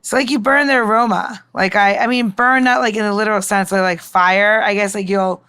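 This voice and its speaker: A woman's voice: medium pitch, fairly even intonation, a little fast, a bit bright, smooth. A woman mixologist giving a casual, informal demonstration, chatting and explaining as she goes. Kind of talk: casual cooking-style demonstration.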